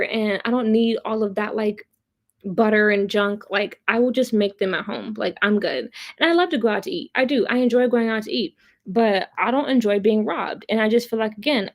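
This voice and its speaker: A woman hosting a casual livestream of commentary, chatting with viewers in a blunt, opinionated, profane way and repeating points for emphasis. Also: fairly high pitch. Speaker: host of a pop culture commentary livestream. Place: live online stream.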